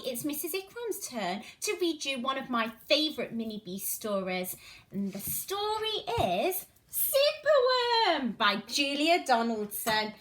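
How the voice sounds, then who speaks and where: A woman's voice: sits high, fairly bright tone. A woman reading a children's story aloud as a teacher, with exaggerated, sing-song expression in a roomy, echoing space. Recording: roomy, echoing indoor sound.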